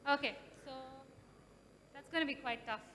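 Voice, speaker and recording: Woman moderator, really high and bright, kinda far from the mic, echoey hall. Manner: brisk, cheerful, calling on questioners. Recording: reverberant hall, faint noise.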